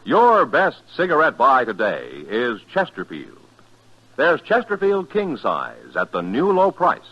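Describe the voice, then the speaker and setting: A dull old radio recording features an American male announcer selling in 1950s commercial style. His voice is forceful and husky, with extremely animated pitch. Every phrase is punched emphatically. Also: measured pace. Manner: emphatic salesmanlike delivery.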